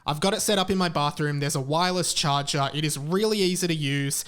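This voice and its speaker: A man with a notably high voice talks like a casual podcast host. His delivery is frank and informal, and he likes to hammer a point by repeating it. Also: a little fast, a bit bright, smooth. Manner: informal, frank, emphatic repetition. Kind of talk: casual podcast talk and chatty ad read.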